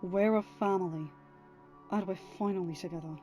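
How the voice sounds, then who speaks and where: A woman voicing a tender, calm, resolute warrior husband in a story reading, earnest and reassuring. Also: lowish voice, normal speed, lo-fi sound, soft background music, close to an everyday voice. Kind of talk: dramatised audiobook reading.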